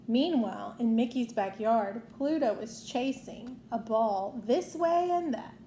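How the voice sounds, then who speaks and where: Female storybook narrator for children, unhurried and high-pitched, recorded in a roomy space. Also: animated, dark tone. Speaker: storybook reader. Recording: roomy echo, faint background noise.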